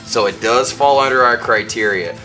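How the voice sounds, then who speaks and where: A man's voice: mid-range voice, normal speed, sharp tone. A man co-hosting a show with casual banter and commentary. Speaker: co-host. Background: faint music.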